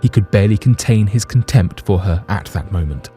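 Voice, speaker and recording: A man's voice: on the low side, fairly quick, mellow, soft background music. A man narrating a scripted story in a literary, dramatic manner.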